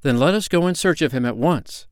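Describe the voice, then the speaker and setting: A man voicing a little girl's gentle, wondering mother in a storybook, with earnest, old-fashioned phrasing. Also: fairly high pitch, animated, a little fast, clean tone, no room sound, clean studio sound, pitched above the performer's own voice, natural voice. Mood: resolute.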